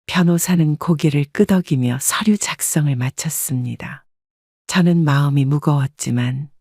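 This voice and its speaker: Casting: woman narrator, calm scripted storytelling, dry close sound. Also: low-pitched, dry recording.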